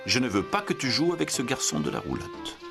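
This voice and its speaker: Man playing a protective cartoon mother, shifted high, emphatic, anxious temperament, music behind. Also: huge pitch swings, brisk, vocal fry. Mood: stern, forbidding. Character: two anxious, protective mothers, adult women, calling out and forbidding. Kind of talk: children's cartoon storybook narration.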